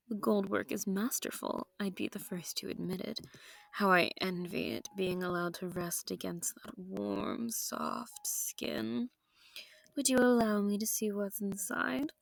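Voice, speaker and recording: A woman's voice: medium pitch, slow, creaky, no echo. A woman playing a seductive, sadistic vampire noble in an intimate audio roleplay, genteel and teasing with menace, often sinking into whispers. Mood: coaxing, predatory. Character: seductive, sadistic, possessive female vampire noble hosting her foe.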